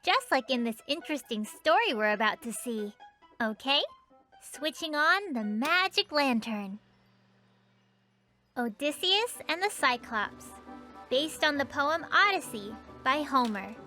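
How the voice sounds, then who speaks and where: A woman voicing a patient, knowing young girl who tells stories in an explanatory way in an animated children's series. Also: high-pitched, measured pace, heavily acted. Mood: knowing, instructive. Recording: music behind the voice.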